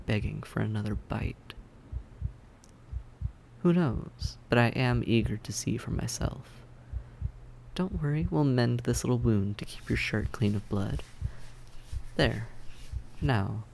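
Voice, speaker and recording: A man playing a suave, predatory vampire in an audio roleplay, speaking in deliberate, theatrical phrases with teasing condescension. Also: mid-range voice, vocal fry, a little mellow, slight background noise, poor audio. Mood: gloating, seductive, then gently mocking. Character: suave, predatory male vampire toying with his prey. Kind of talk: scripted roleplay audio drama monologue.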